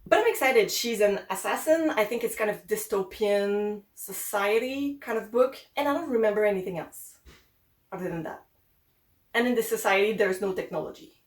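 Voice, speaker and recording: A woman's voice: mid-range voice, echoey room. A young woman from Quebec with a French-Canadian accent, chatting casually and upbeat about the books she plans to read. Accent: Québécois French. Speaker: book vlogger. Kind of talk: casual chat.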